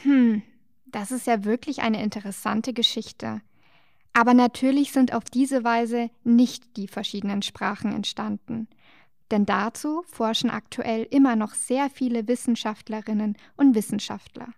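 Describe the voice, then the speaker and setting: A woman co-hosting a children's show, explaining things cheerfully and gently teasing her partner. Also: a little high, steady tone, a little slow, creaky, no echo, studio quality. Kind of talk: scripted children's educational dialogue.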